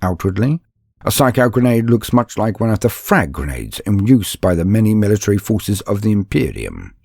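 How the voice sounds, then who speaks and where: Low, husky, dramatic male narrator. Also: big pitch swings, moderate pace, a little mellow, no echo, clean studio sound. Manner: theatrical, solemn. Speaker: narrator and channel host.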